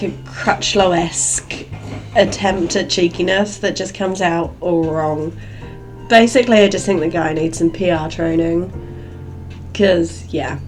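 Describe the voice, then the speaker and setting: A woman hosting a solo podcast, chatty and rambling, her voice gravelly and croaky from a cold. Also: on the low side, darkish tone. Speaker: podcast host.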